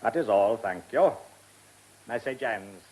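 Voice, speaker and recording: Eccentric British lord, brusque and clipped, swinging pitch, mumbled hesitations, radio drama. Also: male voice, lively intonation, slow, a little mellow. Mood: imperious. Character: eccentric, brusque lordly press baron obsessed with goldfish.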